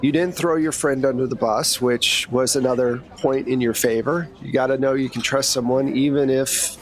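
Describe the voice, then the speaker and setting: A man's voice: mid-range voice, fairly even intonation, normal speed, lightly acted. A man playing a blunt, brash woman agent. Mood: frank, matter-of-fact.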